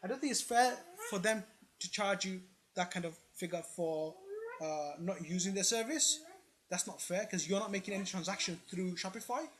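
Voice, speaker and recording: An online presenter talks through a comparison in an informal, explanatory way. The delivery is opinionated and emphatic, with frequent check-ins to the listener. The voice sits at a middling pitch. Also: expressive, normal speed.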